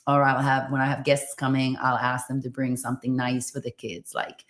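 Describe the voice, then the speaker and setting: This is a woman from Finland with a low voice, chatting in a relaxed, rambling way. She uses lots of fillers and laughs easily. The sound is roomy and echoey. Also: normal speed. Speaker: guest running a school in Tanzania. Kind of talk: casual interview chat.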